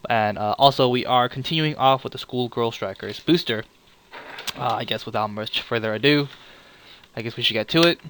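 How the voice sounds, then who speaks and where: A man hosting a casual card game review, a little sick, talking in a relaxed and apologetic way with many filler "uh"s. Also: mid-range voice, moderate pace, slight background noise. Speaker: channel host reviewing card leaks.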